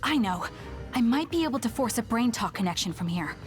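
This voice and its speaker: A woman's voice: fairly high pitch, expressive, brisk, faint music behind, close to an everyday voice. Woman acting a determined young anime fighter. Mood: focused. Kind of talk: anime game English dub acting.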